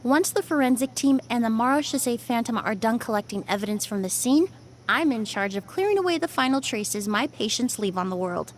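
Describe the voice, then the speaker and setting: A woman playing a composed, thoughtful young perfumer in a video game, speaking in a measured, precise, calm way. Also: a little high, slight background noise, close to an everyday voice. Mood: calm, explanatory.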